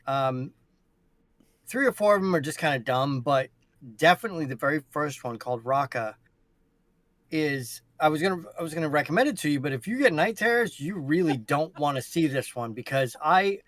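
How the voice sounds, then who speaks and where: A man in casual conversation, recommending things with emphatic, halting delivery. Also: fairly high pitch, lively intonation, fairly bright tone, dry recording. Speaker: podcast cast member. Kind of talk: casual chat, giving a recommendation.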